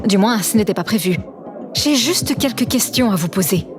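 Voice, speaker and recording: A woman's voice: mid-range voice, lively intonation, moderate pace, music in the background, natural voice. A woman playing a stern, sardonic investigator in an audio drama, restrained and probing. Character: stern, sardonic female investigator, quietly worried.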